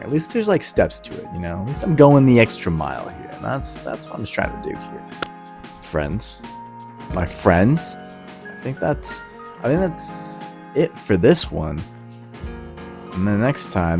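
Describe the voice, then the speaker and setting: A man with a low voice hosts in a loose, chatty style. He is irreverent and self-deprecating, and music plays clearly behind him. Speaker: host. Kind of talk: casual self-mocking commentary.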